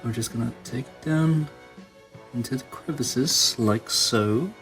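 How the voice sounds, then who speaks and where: A man giving casual, hands-on tutorial commentary as a video host, musing aloud in halting phrases. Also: mid-range voice, a bit bright, faint music behind. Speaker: toy customizing video host.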